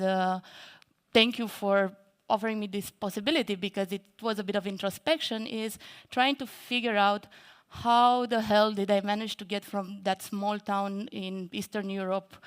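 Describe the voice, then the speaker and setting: Nervous, candid woman speaker, smooth voice. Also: medium pitch. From Romania. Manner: nervous, self-deprecating, many fillers. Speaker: conference speaker. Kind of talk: keynote talk. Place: large event stage.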